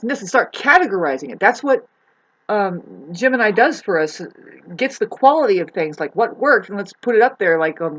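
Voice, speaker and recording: A woman with a fairly low, husky voice rambles through a casual solo vlog. Her delivery is halting and meandering, and she often leaves a thought unfinished before picking up another. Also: rough recording. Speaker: vlogger. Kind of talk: rambling spoken monologue, casual chat.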